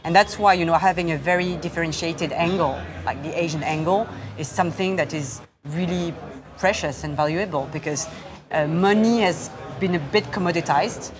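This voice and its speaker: A woman answers interview questions at an event, her voice sitting low for a woman. She talks in a measured, halting way with plenty of fillers. A busy crowd murmurs behind her throughout. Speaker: investor, fund founder. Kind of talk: onstage interview.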